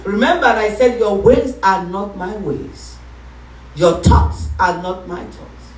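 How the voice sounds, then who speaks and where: A woman pastor preaching a sermon, calling for responses and rising into shouts. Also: medium pitch, expressive, slow pace, far from the mic. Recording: echoey room, voice from off-mic.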